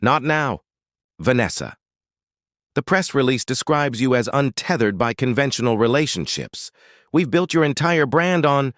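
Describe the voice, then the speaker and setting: A man's voice: expressive, normal speed, a bit bright, dry recording, studio quality, close to an everyday voice. A man doing a pushy, gushing female art agent. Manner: pushy, gushing, raises pitch.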